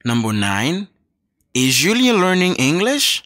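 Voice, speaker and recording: A man reading scripted English dialogues and exercise questions for a learners' audio book, slow and clearly enunciated. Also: a little high, huge pitch swings, a bit bright, no room sound, clean studio sound. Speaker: textbook audio reader.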